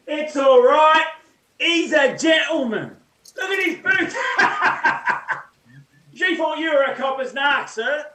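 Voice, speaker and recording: Matey, loud street busybody, man actor, high-pitched, slow delivery, echoey and off-mic. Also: animated, slight background noise, full character voice. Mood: teasing, warning. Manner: loud, teasing, informal street talk. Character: cheeky, matey street bystander, common folk. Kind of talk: theatrical staged play reading. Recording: echoey room, far from microphone.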